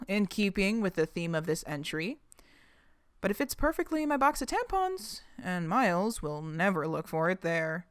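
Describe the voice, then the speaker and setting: A woman's voice: lowish voice, no room sound, clean studio sound, close to an everyday voice. An actress playing a reflective, homesick refugee woman reading her secret diary in an audio drama, confiding and wry.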